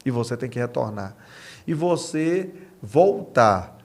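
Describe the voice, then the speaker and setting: A man's voice: medium pitch, very animated, takes it slowly. A man with a Brazilian accent, a pastor and podcast guest, speaking conversationally in short phrases with frequent check-in questions. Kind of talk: conversational testimony.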